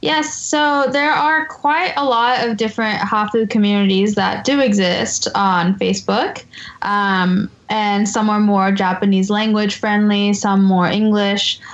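A woman guest in an interview, explaining things in a steady way. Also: mid-range voice, a little slow, highs cut off. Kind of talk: casual interview chat.

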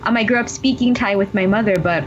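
A woman answers interview questions in a thoughtful, hesitant way, often pausing on ums. The sound is a little dull, with faint noise underneath. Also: medium pitch. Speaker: guest.

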